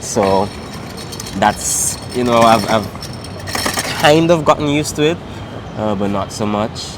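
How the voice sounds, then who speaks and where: A man's voice: fairly low pitch, slow pace, background noise. A young man from Saint Vincent and the Grenadines, chatting in a casual interview with lots of "um" and "like". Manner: relaxed, many fillers like "um" and "like".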